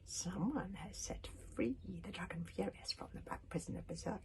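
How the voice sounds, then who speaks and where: A woman's voice: fairly low pitch, lively intonation, moderate pace, darkish tone. A woman voicing a skeletal, evil old witch who speaks in a dry hissing whisper. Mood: hissing menace. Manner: sinister, gloating. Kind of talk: storybook reading.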